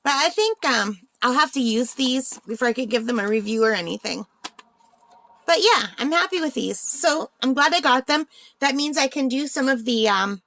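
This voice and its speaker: High-voiced woman, casual craft vlogger. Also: fairly bright tone, dry recording. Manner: chatty, informal, thinking aloud. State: slightly unwell, voice tiring. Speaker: craft channel host. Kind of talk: casual unboxing vlog chat.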